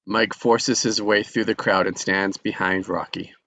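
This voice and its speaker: Guy reading the action lines, lowish voice, steady. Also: unhurried, gravelly, no room sound. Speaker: stage directions reader. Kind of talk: reading stage directions.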